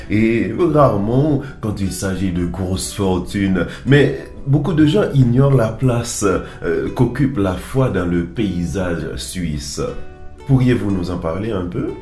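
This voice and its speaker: A man hosting a radio interview show, introducing guests in a calm, measured way. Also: on the low side.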